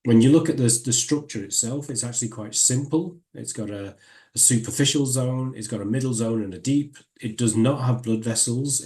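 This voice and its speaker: Northern English man with a mellow voice. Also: medium pitch. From Northern England. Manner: measured, explanatory, with frequent restarts. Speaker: guest lecturer. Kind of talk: academic seminar talk.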